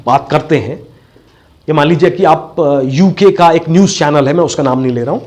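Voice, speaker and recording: A man's voice: fairly high pitch, brisk, a little mellow. A man with an Indian accent giving a bilingual classroom lecture as a voice and accent teacher, didactic and repeating key phrases.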